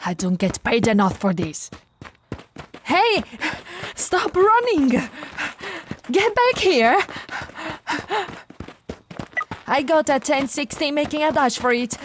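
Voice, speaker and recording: A woman's voice: sits high, sing-song, measured pace, background noise. A woman voicing a flirty but firm policewoman in an ASMR roleplay, with a teasing, coaxing, authoritative manner. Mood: alarmed, shouting. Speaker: voice actor in roleplay audio.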